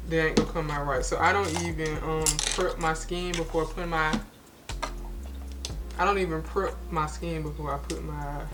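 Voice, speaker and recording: A woman's voice: fairly low pitch, noisy background. A woman, chatting casually to her camera while doing her makeup at home. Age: 20s. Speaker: beauty and faith vlogger. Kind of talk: casual get-ready-with-me chat. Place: home, at a mirror.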